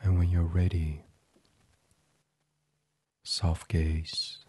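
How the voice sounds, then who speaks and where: A man leading a sleep meditation in an ASMR style, gentle and soothing, breathy and often half-whispered. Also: low-pitched, little pitch movement, extremely slow. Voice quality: breathy, hushed. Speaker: guided meditation host.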